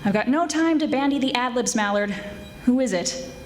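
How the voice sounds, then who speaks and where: A woman playing a witty, tough private detective in a 1940s-style radio drama, with brisk wisecracking narration. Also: on the high side, lively intonation, slight background noise, lightly acted. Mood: cool, wry.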